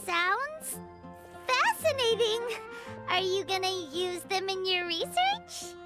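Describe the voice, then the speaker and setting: A woman voicing a tiny childlike fairy companion in a video game, cheeky, excitable and exclamatory. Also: extremely high pitch, slow, exaggerated voice. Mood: curious, eager. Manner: speaks of herself in third person, exclamatory. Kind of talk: video game character voice acting. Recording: background music under the voice.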